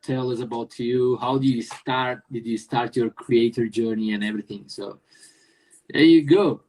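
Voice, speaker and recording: A man hosting a casual live-stream interview, explaining things in a halting way with many restarts and jokes. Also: medium pitch, darkish tone, poor audio. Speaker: host.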